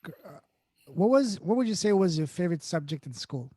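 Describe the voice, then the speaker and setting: A man's voice: high-pitched, animated, a little fast, dark tone, slightly rough, no room sound, studio quality. A man from France with a French accent, hosting a podcast and encouraging his guest with short reactions. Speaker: podcast host. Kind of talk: interview questions.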